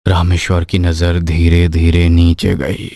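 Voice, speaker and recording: A man's voice: deep voice, monotone, slow, dry recording, clean studio sound. A man narrating a scripted horror story in a dramatic, suspenseful manner. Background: loud music bed.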